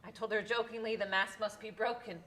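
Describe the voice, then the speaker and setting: A woman's voice: fairly high pitch, steady tone, brisk, a bit bright, close to an everyday voice. A woman playing a weary, homesick immigrant mother who confides tenderly in her son, as dramatic stage storytelling. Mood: reminiscing.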